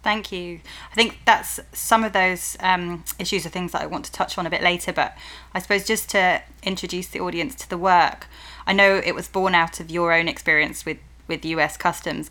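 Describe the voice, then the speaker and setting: A woman hosting a podcast, reading a measured introduction and asking questions in a gently encouraging way. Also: fairly low pitch, fairly even intonation. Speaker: podcast host. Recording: music playing behind the voice.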